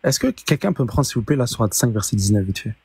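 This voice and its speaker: A man's voice: mid-range voice, lively intonation, fast talker, creaky, a little mellow, slightly rough, dry recording. A man cutting into a debate, insistent and pressing his argument. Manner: insistent, interrupting to take the floor. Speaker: debate participant.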